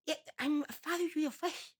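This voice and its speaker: Guy doing little old fisherman guy, really high voice, pompous and earnest, kinda halting, comedy interview bit. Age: 92 as played. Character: tiny 92-year-old fisherman, simple, proud, stubborn old man. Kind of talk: improvised comedy interview character.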